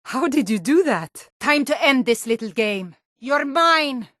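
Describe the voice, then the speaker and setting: A stern Nord townswoman from a fantasy video game, with a fairly high voice and a dry, close sound. She is blunt and challenging, quick to suspicion and temper, and stresses her words firmly. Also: lively intonation, fairly bright tone, no room sound. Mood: suspicious. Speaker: game character voice.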